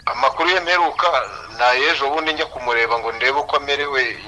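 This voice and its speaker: Busy husband in a radio play, really sharp forceful voice, talks quick. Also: male voice, a little high, a little hiss or hum, poor audio. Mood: matter-of-fact. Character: busy village husband reporting on his father. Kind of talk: Kinyarwanda radio drama acting.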